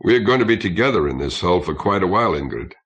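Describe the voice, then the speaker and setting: A man's voice: low-pitched, sing-song, quick pace, fairly bright tone, lo-fi sound. A man voicing a reserved starship captain in his fifties for an audiobook, speaking formally and courteously. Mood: formal, mildly stern.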